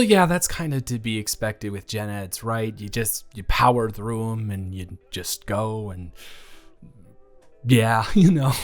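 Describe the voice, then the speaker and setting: A man's voice: fairly low pitch, close to an everyday voice. A young man playing a friendly, slightly awkward college student in a roleplay audio, talking casually and hesitantly to the listener as a dormmate. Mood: friendly, curious. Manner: rambling, animated, hesitant casual speech.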